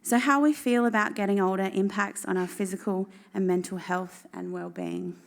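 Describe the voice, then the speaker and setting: A woman presents to a conference audience, speaking with a croaky, gravelly voice. She is steady and informative, pausing on fillers as she works through her points. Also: mid-range voice. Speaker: government policy officer presenting.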